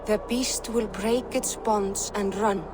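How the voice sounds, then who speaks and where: An actress playing an eerie seer who prophesies doom in a Norse video game, speaking like an oracle. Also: female voice, mid-range voice, steady tone, slow pace, noisy background, close to an everyday voice. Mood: ominous. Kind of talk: dramatic video game acting.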